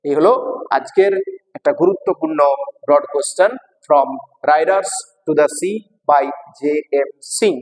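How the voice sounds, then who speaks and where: Male teacher, West Bengal Bengali accent, higher-pitched voice, didactic explainer delivery, recorded with long echoing reverb. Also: expressive, takes it slowly. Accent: Indian Bengali, West Bengal. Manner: didactic, repeats each phrase and translates it. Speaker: English teacher. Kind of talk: bilingual exam lesson, explaining line by line.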